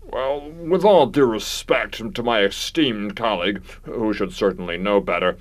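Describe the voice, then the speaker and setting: An actor playing a pompous, irascible classical music critic, haughty and ornate, in a satirical radio comedy. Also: male voice, on the low side, unhurried, lightly acted. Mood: outraged.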